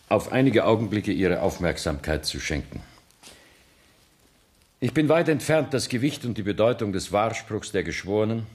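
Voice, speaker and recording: An actor playing a grave, conscientious senior judge in a radio play, speaking formally in a measured, earnest way. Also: male voice, low voice. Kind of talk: radio play acting in standard German.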